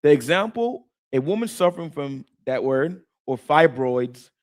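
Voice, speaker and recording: A man co-hosting a Bible study talk, explaining in a casual, animated way and stumbling over words at times. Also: on the high side, unhurried. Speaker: co-host teaching scripture.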